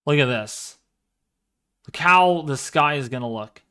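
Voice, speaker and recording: A man in his twenties with a clear, smooth voice pitched somewhat high. He talks at an unhurried pace, thinking aloud as he clicks through an astronomy program. He often breaks into excited exclamations. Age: 20s. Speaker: livestream host. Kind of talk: casual livestream demo of astronomy software.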